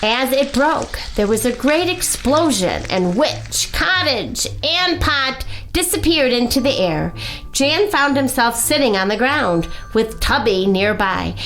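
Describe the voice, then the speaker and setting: A woman reading a children's story aloud as a library storyteller, with expressive, dramatic emphasis. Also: high voice. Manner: animated, unhurried, dramatic emphasis. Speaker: library story-time reader.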